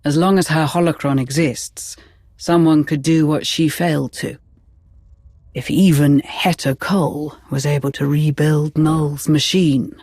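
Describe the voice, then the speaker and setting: An earnest military adviser, with a fairly high, slightly husky voice. He talks formally and with concern, as a dutiful, uneasy aide in a dramatic game cutscene. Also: steady tone, a little slow, a little mellow, no room sound, clean studio sound.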